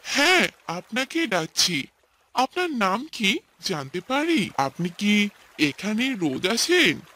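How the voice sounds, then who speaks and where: A man's voice: high-pitched, very animated, takes it slowly, pushed and bright, full character voice. A man voicing a smitten, scheming young village suitor in a cartoon, exclaiming and ingratiating. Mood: eager, courting. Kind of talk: cartoon voice acting.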